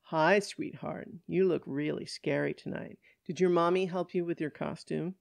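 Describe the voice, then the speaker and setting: Audiobook role: patronizing old-school cop, done by the narrator, firm and condescending, with gravelly fry. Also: mid-range voice, steady tone, normal speed, dry recording, lightly acted. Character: stern, patronizing old-fashioned male police officer.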